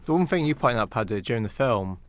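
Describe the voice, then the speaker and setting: Male podcast co-host, average pitch, dry recording, relaxed conversational film talk. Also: fast talker, no echo. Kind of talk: casual film discussion.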